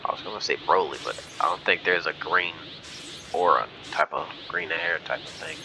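A man's voice: low voice, normal speed, over music. A man giving casual gameplay commentary, hesitant and thinking aloud as he goes, often trailing off. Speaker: gaming video host.

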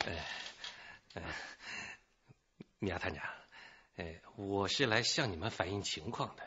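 A man's voice: low-pitched, measured pace, sharp tone, husky, muffled recording, exaggerated voice. An actor playing a hesitant, deferential male security guard in a radio drama, stammering and hedging with many interjections. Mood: flustered, polite.

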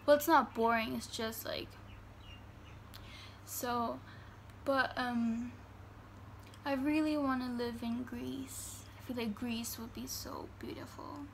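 A young woman in her teens, a vlogger from Germany, answering fan questions in casual chat in her bedroom, full of fillers and reading each question out slowly. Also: a little high, steady tone, vocal fry, slight background noise. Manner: chatty, filler-heavy, reads questions slowly. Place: bedroom indoors. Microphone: phone camera at arm's length.

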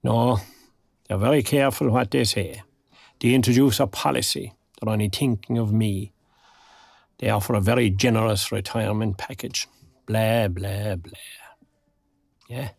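A man's voice: fairly low pitch, steady tone, moderate pace, creaky, long pauses, a little mellow, husky, no echo. An actor playing a lonely, wry retired man in his sixties, with an Irish accent and a dry, wistful, understated manner in a naturalistic audio drama. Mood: wistful, resigned. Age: 60s.

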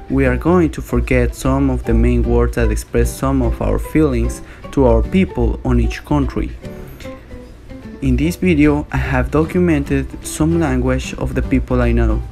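A man reads an earnest scripted voice-over, his phrasing slightly non-native. Soft guitar music plays quietly underneath. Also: medium pitch. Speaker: narrator and video maker.

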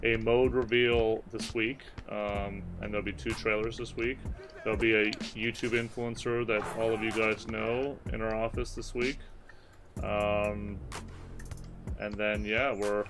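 A man's voice: fairly low pitch, level tone. Guy in his 30s on a stream, kinda hesitant careful answers, music in the back. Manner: hesitant, measured, noncommittal. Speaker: game company representative on a livestream. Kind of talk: casual livestream question answering. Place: indoor room, seated at a webcam. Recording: livestream clip with music behind.

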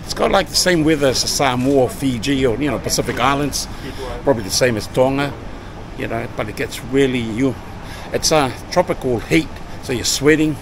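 A man's voice: medium pitch, moderate pace, gravelly, noisy background. Upbeat man vlogging on a busy street. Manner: upbeat, chatty, calls people brother. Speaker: travel vlogger. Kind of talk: casual travel vlog chat. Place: busy city street and convenience store. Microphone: action camera held at arm's length.

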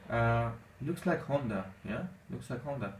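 A man whose first language is Armenian, giving casual commentary on his gameplay in halting English with many fillers. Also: medium pitch, lively intonation, soft background music. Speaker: gaming channel host. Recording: echoey room, distant mic, faint noise.

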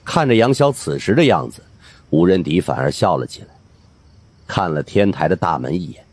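A man with a husky voice narrating a supernatural mystery audiobook in a dramatic scripted style. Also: sits low, lively intonation, moderate pace, no echo.

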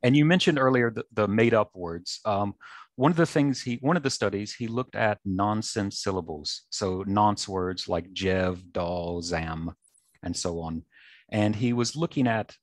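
Low-voiced man with a faint Southern accent. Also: dry recording, studio quality. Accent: light Southern American. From North Carolina, USA. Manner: measured, lecturing, with frequent ums. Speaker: researcher guest. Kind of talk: explanatory interview talk. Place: office with bookshelves and whiteboard. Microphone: headset on a video call.

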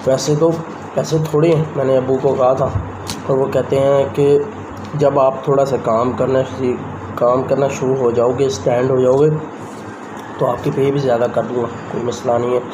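A man's voice: mid-range voice, little pitch movement, normal speed. A young man, vlogging in a casual, rambling way to a phone held at arm's length, first on a rooftop and then in a small room. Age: young adult. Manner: rambling, conversational, talks straight to the camera. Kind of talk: casual vlog chat. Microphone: handheld phone camera at arm's length. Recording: noisy phone recording with outdoor ambience.

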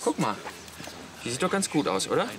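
Naive good-natured husband, comic radio play: colloquial, varied intonation, noisy background. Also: male voice, a little high, huge pitch swings, fairly quick. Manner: colloquial, questioning. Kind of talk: radio play comedy dialogue. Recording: clear background noise.

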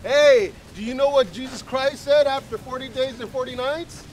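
A man's voice: very high voice, normal speed, slight background noise, rough recording. A man in an outdoor beachfront park, rambling in a mocking, provocative way. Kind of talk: improvised street banter.

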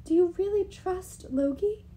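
A woman voicing an anxious, quick-witted young woman in an audiobook, speaking in an urgent, placating way. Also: very high-pitched, warm tone, voice pushed up. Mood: wary, flattering. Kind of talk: audiobook character voice.

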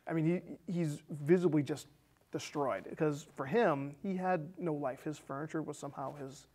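An American man in his thirties talks through a movie in a casual, conversational way. His voice sits a little higher than average, and he tends to circle back and repeat himself as he explains. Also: moderate pace, dark tone. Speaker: film discussion show co-host. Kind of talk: casual conversational film recap. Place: small indoor studio set with curtain.

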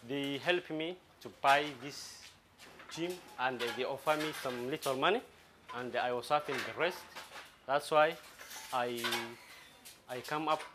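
A man in his twenties from Somalia, a gym owner talking in a documentary interview, speaking halting with many restarts, in a small metal-walled gym. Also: fairly high pitch, noisy background. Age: 20s. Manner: halting non-native English with restarts.

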